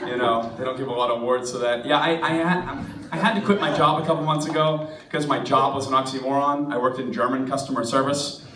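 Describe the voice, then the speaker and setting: A man in his twenties with an American accent, hoarse from a cold, doing a rambling, self-mocking stand-up set in a bar. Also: mid-range voice, fairly even intonation, quick pace, few pauses, very noisy. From United States. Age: 20s. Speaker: stand-up comedian. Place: comedy club stage in a bar. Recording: echoey room recorded from a distance.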